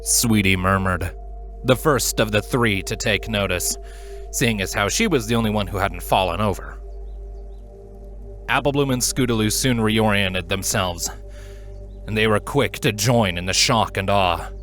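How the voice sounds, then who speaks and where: A man narrating a scripted story in an expressive, dramatic manner. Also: fairly low pitch, a little slow, faint music behind. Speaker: fan fiction reader, narrator.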